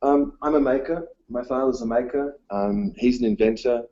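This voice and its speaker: A male teacher whose first language was Dutch, giving a conference talk in a halting way, full of ums and uhs. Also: medium pitch, mellow, lo-fi sound. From Australia. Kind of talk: presentation talk. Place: conference room.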